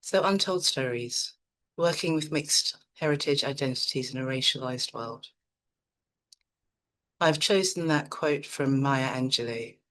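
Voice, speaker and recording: A middle-aged woman from Nigeria, a psychotherapist presenting an online seminar in a calm, measured, reflective way. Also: extremely low pitch.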